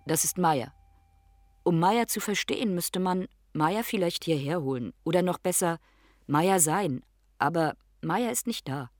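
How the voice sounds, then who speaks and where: A performer playing a grave, reflective narrator in a literary radio drama, speaking in measured, short statements. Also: mid-range voice, animated, no echo, studio quality, natural voice. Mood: subdued, reflective. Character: grave, reflective narrator recounting a dying friend.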